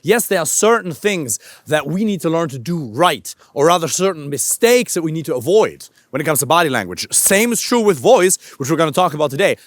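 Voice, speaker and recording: A man's voice: fairly high pitch, lively intonation, brisk, hardly any pauses, no echo, clean studio sound. A man coaching other men on how to use their voice, talking to camera while walking outdoors, emphatic and casual, with the odd swear word. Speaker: dating coach.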